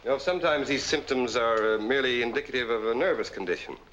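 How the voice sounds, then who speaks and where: Sanitarium doctor, male actor, 1940s film. Authoritative, quick delivery, muffled vintage sound with faint noise. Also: mid-range voice, lightly acted. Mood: probing, cool. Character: brisk, suspicious male sanitarium doctor in command.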